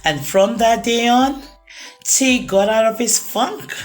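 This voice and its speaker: A woman reading aloud to children as a storytime host, in an expressive storybook narration style. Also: on the high side, slow, faint music behind.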